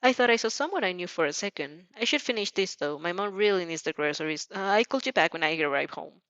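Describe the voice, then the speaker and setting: A woman's voice: fairly low pitch, animated, fast, fairly bright tone, no room sound, highs cut off. Woman as an anxious, flustered young girl. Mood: nervous, reassuring. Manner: nervous, hesitant, flustered. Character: anxious twelve-year-old girl hiding her nerves.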